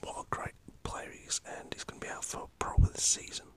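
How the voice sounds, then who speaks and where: A man from the north of England with a northern English accent, whispering a rambling, chatty football talk for an ASMR channel. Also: quick pace. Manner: rambling, chatty, swears mildly. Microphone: camera set up in front of him.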